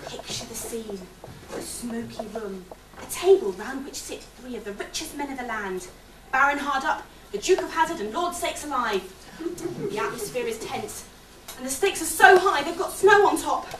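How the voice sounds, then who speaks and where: A woman's voice: sits high, animated, normal speed. A woman playing a chirpy young male servant who narrates a pantomime, declaiming to the audience in amateur stage style. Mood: dramatic storytelling. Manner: bright, declamatory, addressing the audience directly. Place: theatre or hall stage with audience. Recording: echoey hall, distant mic, audience noise.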